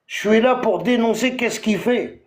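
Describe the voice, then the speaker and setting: Man, TikTok live host, confrontational rant, lots of hesitations, higher voice, roomy echo. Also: a little slow. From Algeria. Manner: confrontational, repetitive, full of hesitations. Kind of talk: heated live-stream rant.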